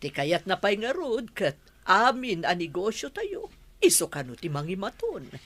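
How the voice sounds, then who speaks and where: A woman's voice: medium pitch, sing-song, moderate pace, fairly bright tone. A woman with a Filipino Ilocano accent playing a worried, weary older mother who runs a family business in a radio drama. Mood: concerned. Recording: noisy track with clicks and insect sounds.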